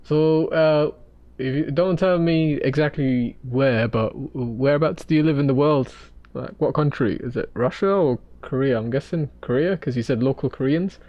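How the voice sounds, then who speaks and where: A man's voice: mid-range voice, no echo, lo-fi sound. Man chatting with viewers while drawing. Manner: halting, full of restarts. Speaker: livestream host.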